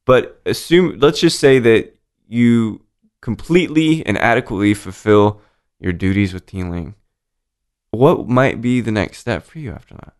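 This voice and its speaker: A man with a deep voice hosting a casual interview, laid-back and jokey, swearing now and then. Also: lively intonation, measured pace, darkish tone. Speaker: podcast host.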